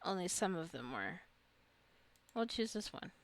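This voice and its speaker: A woman's voice: lowish voice, sing-song, a little slow. A woman giving casual gameplay commentary, rambling and thinking aloud as she plays. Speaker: gamer narrating her own gameplay.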